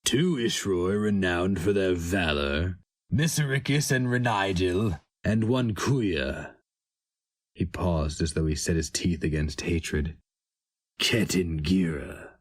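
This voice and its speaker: A man giving a solemn, deliberate, dramatic reading of a fantasy story as its narrator. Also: low voice.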